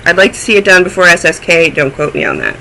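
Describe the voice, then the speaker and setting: A man's voice: a little high, wildly swooping pitch, quick pace, vocal fry, pushed and bright, slight background noise, low-quality recording. A man hosting a knitting podcast, chatting in a rambling, self-deprecating way and sounding a little phlegmy. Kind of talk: casual chat.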